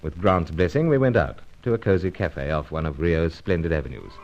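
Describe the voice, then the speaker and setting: An actor playing a suave British secret agent in an old radio serial, with clipped gentlemanly banter and first-person narration. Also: male voice, low voice, lively intonation, fairly quick. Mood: wry, suspicious. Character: suave pipe-smoking British secret agent narrating his own adventure. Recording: muffled noisy old radio recording.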